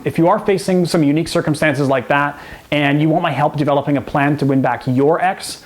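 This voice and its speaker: A man speaking as a relationship coach and host, delivering scripted advice straight to the viewer in an instructive way. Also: medium pitch. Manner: instructive, direct address to the viewer. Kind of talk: scripted advice video to camera.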